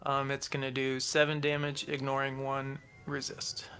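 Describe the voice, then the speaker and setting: A man's voice: mid-range voice, monotone, moderate pace, bright, forceful tone, soft background music. A man talking casually as a player in a tabletop role-playing game.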